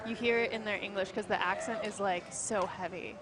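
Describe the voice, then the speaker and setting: A woman's voice: medium pitch, fairly even intonation, fairly quick. A young woman skater as guest commentator, talking casually and hesitantly with many fillers. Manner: hesitant, filler-heavy, lots of "like". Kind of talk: casual chat. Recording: crowd noise behind.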